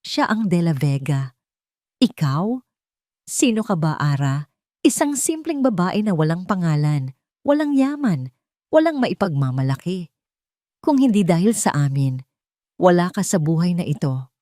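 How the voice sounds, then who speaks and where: A woman's voice: fairly low pitch, big pitch swings, measured pace, pauses often, warm tone, dry recording, clean studio sound. A woman voicing a cold, wealthy older mother-in-law who scolds with contempt in an audio drama. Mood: insulting, haughty.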